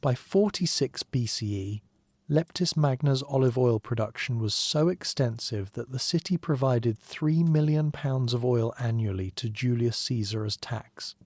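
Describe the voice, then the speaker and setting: A man narrating a documentary in a gentle, even, scripted way, with dry asides. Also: medium pitch, dry recording. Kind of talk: calm scripted sleep narration.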